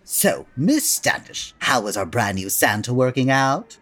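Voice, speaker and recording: A man's voice: medium pitch, sing-song, measured pace, fairly bright tone, heavily acted. A man playing a fussy, anxious, hesitant department store manager in a radio play. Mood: pleasant.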